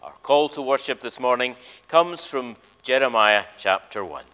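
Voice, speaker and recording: A male minister speaking in a measured, solemn way with emphasis, addressing a congregation and reading scripture in a church service. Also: medium pitch, lively intonation, sharp tone. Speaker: minister leading worship. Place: large church interior. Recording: long church reverb.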